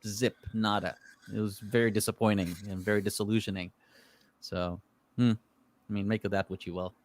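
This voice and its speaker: Hebrew-native man, lowish voice, relaxed rambling talker, dry close recording. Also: normal speed, no room sound. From Israel. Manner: relaxed, rambling storyteller with frequent fillers. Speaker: guest. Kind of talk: casual chat.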